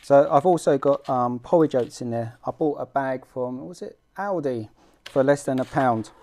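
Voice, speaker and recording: A middle-aged man with a British accent hosting an informal homemade how-to vlog, talking in a halting, self-deprecating way as he works. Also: medium pitch, dark tone. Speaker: fishing vlog host. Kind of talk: casual how-to demonstration. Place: small room at home, indoors.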